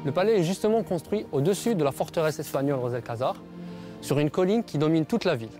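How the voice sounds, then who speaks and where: A man's voice: on the high side, animated, fast, warm tone, faint music behind. A man guiding visitors through a palace, explaining its history in a storytelling way. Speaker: tour guide. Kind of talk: explaining on a guided tour.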